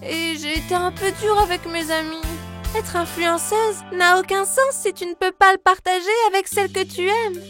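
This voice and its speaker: Very high-pitched diva cartoon pup. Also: female voice, steady tone, normal speed, a bit bright. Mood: remorseful. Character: vain but repentant young female influencer pet dog. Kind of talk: children's cartoon dub. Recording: music behind the voice.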